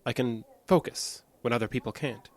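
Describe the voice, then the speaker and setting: Coldly calm, detached man played by an actor. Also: mid-range voice, moderate pace, natural voice. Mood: cold, defiant. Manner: calm, precise, emotionally flat, evasive. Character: cold, detached man hiding violent tendencies under calm. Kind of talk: audio drama acting.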